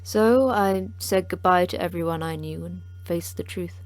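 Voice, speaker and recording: An actress playing a terse, blunt station manager at a secret facility in an audio drama. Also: female voice, lowish voice, normal speed, darkish tone, soft background music, close to an everyday voice. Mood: wry. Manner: blunt, dry, clipped.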